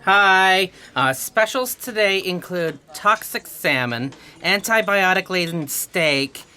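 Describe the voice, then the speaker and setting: A jaded restaurant server, played by a performer in a sci-fi audio play. The delivery is slow and deadpan, with a sharp, bright tone. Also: medium pitch, clear, resonant tone. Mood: jaded, bored. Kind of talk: sci-fi audio theatre acting.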